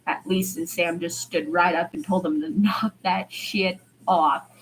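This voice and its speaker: A woman's voice: fairly low pitch, fairly even intonation, a little slow, slight background noise, rough recording. A woman voicing a casual, teasing young man in a story, speaking colloquially. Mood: amused admiration. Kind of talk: amateur fiction character dialogue.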